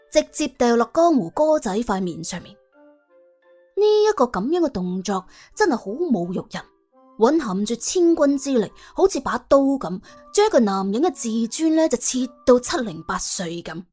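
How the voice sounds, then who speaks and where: A woman with a Cantonese accent narrating a comic audiobook in a colloquial, wry and animated way. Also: a little high, normal speed, slightly rough, faint music behind.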